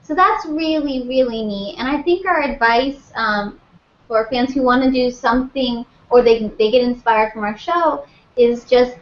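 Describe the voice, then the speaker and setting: A young woman guest at a convention panel, chatting casually, animated and jokey while giving earnest advice. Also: fairly high pitch, measured pace. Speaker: guest, video parody creator. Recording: dull, roomy audio with faint hum.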